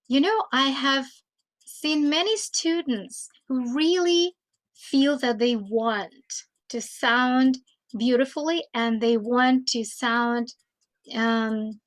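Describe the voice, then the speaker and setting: A woman speaks as a teacher trainer giving a talk. Her voice sits a little high. She moves slowly and deliberately, often stopping on "um" and "uh" before going on. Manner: slow, thoughtful, with many hesitations.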